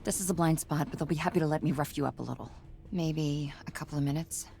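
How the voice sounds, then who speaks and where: A woman's voice: deep voice, fast, vocal fry, warm tone, a little hiss or hum. An actress voicing a tough young woman prisoner in a video game, curt and blunt, swearing freely. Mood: tense.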